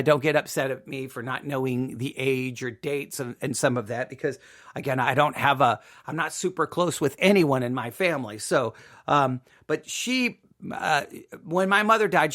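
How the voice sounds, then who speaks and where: Man, middle-aged, from Texas, high-pitched, podcast monologue, emphatic repetition, personal storytelling. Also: fairly bright tone. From Texas, United States. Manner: emphatic, repetitive, seeking agreement with "right?". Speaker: podcast host.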